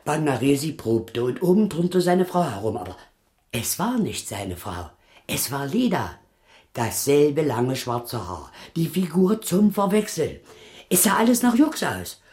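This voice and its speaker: Evasive, anxious circus man, swinging pitch. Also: big pitch swings, a little slow, darkish tone. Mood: agitated recounting. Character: evasive, frightened circus man confessing he was duped. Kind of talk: 1980s German radio drama acting.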